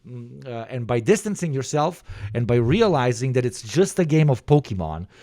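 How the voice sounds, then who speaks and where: A man with an Eastern European accent, reacting to a video in casual, profane, rambling commentary with sudden animated bursts. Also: medium pitch, moderate pace, dry recording, studio quality.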